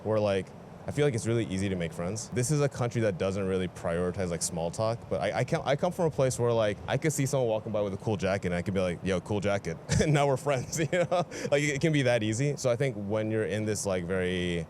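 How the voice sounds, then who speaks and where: A man's voice: lowish voice, expressive, fast talker, a little mellow, a little hiss or hum. A man from New York, talking chattily and laughing in a street interview. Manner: chatty, laughs, lots of "like" and "you know".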